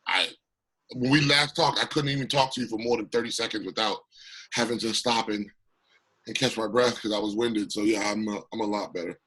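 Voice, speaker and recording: Raspy, husky-voiced man, emphatic political candidate, bright forward tone, recovering from illness. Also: fairly low pitch, brisk, low-quality recording. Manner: passionate, emphatic. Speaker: congressional candidate, guest. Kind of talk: remote interview.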